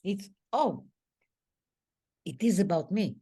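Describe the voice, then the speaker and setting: A woman's voice: medium pitch, animated, takes it slowly, mellow, slightly rough. A woman co-hosting an interview show. She speaks encouragingly, repeating words while she searches for her thought. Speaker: podcast co-host. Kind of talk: interview chat.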